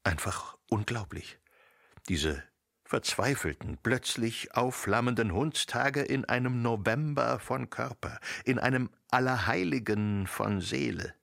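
A man narrating a radio drama in a literary, dramatic style, with deliberate phrasing and emphatic pauses. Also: mid-range voice, gravelly, a bit bright, dry recording, studio quality.

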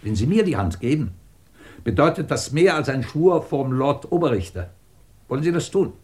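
A male actor plays an imperious, middle-aged professor in a 1950s German radio drama. His mid-pitched voice swings widely as he commands, probes and mocks. The delivery is theatrical, clipped and sardonic, that of a man used to being obeyed. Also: expressive, moderate pace, a little mellow, close to an everyday voice. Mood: probing, intense. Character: imperious, sardonic British physics professor and department chief.

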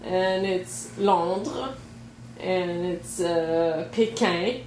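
A woman in casual chat, telling a travel anecdote in an unhurried way, often laughing. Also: lowish voice, a little mellow. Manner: slow, storytelling, laughs often. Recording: roomy echo, faint background noise.

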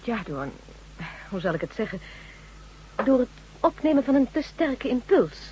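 A woman's voice: a little high, big pitch swings, darkish tone, breathy edge, exaggerated voice. An actress playing a composed, precise female neurologist in a Dutch radio drama, formal and coolly sceptical. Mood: clinical. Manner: formal, measured, explanatory. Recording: muffled, humming old radio recording.

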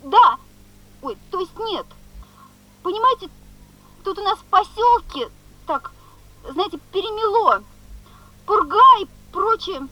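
A woman's voice: extremely high pitch, animated, takes it slowly, pauses often, bright, forceful tone, dull audio, full character voice. An actress playing an evasive, flustered mother in a 1970s radio play, speaking hesitantly and stumbling. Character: evasive, flustered mother phoning about her daughter. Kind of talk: 1970s Soviet radio drama acting.

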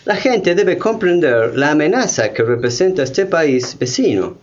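A scheming male ambassador in a radio play, his higher-pitched voice swooping as he insinuates and persuades. The recording is a little muffled. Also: big pitch swings, a little slow, slight background noise. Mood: insinuating, alarmist. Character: scheming, haughty British ambassador pushing neighbours to war. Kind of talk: radio drama acting.

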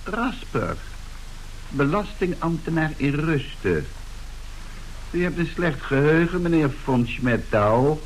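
Sly old taxman sidekick, really slow, animated lowish voice, radio drama. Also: rough recording. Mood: slyly threatening. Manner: deliberate, drily menacing. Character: sly retired male tax official, swindler's assistant. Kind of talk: old Dutch radio play acting. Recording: hissy old radio recording.

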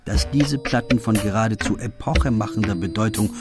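An actor playing a detective's loyal, puzzled companion, narrating the case in a measured, literary radio play style. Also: male voice, lowish voice, over music, close to an everyday voice. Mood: measured, reflective.

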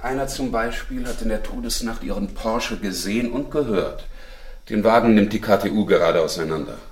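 An actor playing a persistent, dryly sardonic police inspector in a German radio crime drama, with clipped, understated delivery. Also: male voice, fairly low pitch, normal speed, far from the mic, natural voice. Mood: firm, confronting. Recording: roomy, echoing, slightly off the mic.

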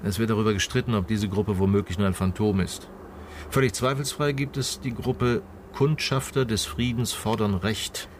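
Guy playing a sober probing detective in a radio play, lowish voice, formal and measured. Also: steady tone, a little hiss or hum, natural voice. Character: male investigator, sober and probing. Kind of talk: naturalistic radio drama acting.

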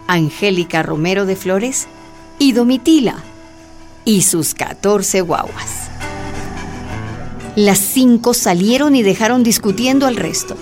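A woman's voice: medium pitch, a little mellow, lightly acted. A woman narrating a scripted radio drama as a plain storyteller. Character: female storyteller recounting events plainly. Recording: music bed behind narration. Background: music.

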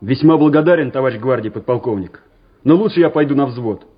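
An actor playing an earnest, courteous young junior lieutenant in a Soviet radio drama. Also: male voice, medium pitch, animated, fast talker, breathy edge. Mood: earnest, respectful. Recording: muffled old radio drama recording.

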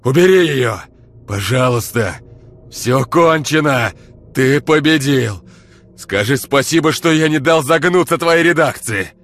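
A man voicing a battered, raspy-voiced brother who wheezes and screams. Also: fairly low pitch, animated, slow, pauses often, bright, forceful tone, pitched above the performer's own voice, heavily acted. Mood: pleading. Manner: wheezing, then screaming in pain. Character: battered hoarse man, vicious older brother.

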